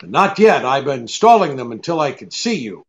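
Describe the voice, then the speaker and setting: An actor playing a shrewd, cool private detective in an old-time radio drama, wry and blunt, hesitating with the odd uh. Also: male voice, mid-range voice, very animated, moderate pace. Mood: brisk, firm. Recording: dull, lo-fi audio.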